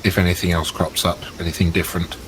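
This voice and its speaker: A man with a very deep voice chats as a player in a role-playing game. He reasons things through in a considered, analytical manner, with faint noise in the background. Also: little pitch movement, fast, a bit bright, rough recording. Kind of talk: casual game discussion.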